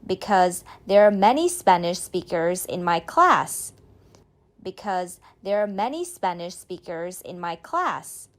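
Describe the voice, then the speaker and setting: A woman reading a beginner's speaking drill, saying each line slowly and clearly, then repeating it. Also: fairly low pitch, very animated. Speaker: model speaker in a language drill. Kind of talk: slow scripted drill reading.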